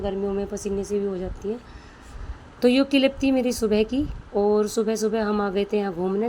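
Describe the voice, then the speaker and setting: A woman with a mellow, slightly dark voice and a sore throat, chatting in a homely way straight to her viewers. There is faint noise behind her. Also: medium pitch. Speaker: vlogger. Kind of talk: casual home vlog voice-over.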